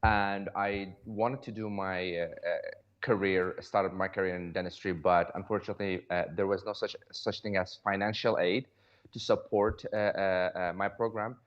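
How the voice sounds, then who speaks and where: A low-pitched man from Iraq talks casually as an interview guest. He tells his story in a hesitant way, doubling back on words as he looks for the next phrase. The audio is a little dull and lo-fi. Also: flat delivery, normal speed. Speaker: podcast guest, cosmetic dentist.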